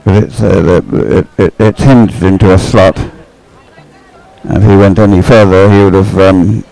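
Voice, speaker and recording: A man giving conversational live sports commentary as an expert analyst, explaining haltingly with repeated words. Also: deep voice, steady tone, vocal fry, warm tone, no room sound, low-quality recording.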